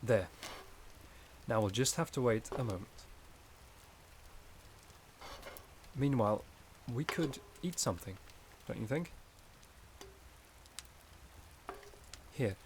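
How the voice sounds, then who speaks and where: A man playing a gentle, shy, caring forest companion in a soft-spoken ASMR roleplay, with a hesitant, slightly stammering delivery. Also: medium pitch, lively intonation, normal speed, a little hiss or hum, lightly acted. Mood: gently attentive.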